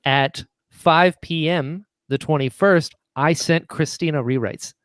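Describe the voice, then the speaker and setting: A man's voice: fairly high pitch, slow pace, fairly bright tone, smooth, no room sound. A man speaking as a podcast guest, telling anecdotes informally and acting out bits of dialogue. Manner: informal, acts out quoted dialogue. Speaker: podcast guest, audio drama writer. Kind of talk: casual chat, animated anecdotes.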